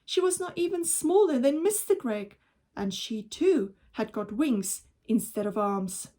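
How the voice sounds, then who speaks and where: A woman reading a children's story aloud as a teacher would, in a measured, expressive storytelling manner. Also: fairly high pitch.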